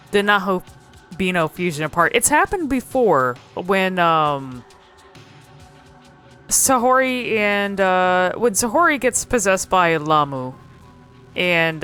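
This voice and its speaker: A woman's voice: fairly low pitch, a bit bright, clean tone. A woman hosting casual, unscripted game commentary, musing and thinking aloud in a hesitant way. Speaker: video host exploring game cutscenes.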